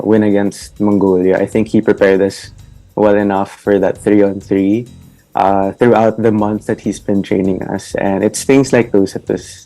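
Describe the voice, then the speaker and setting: A young man with a Filipino accent answering interview questions about playing ice hockey, measured, with fillers. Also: lowish voice, dark tone, poor audio. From Philippines. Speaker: guest ice hockey player.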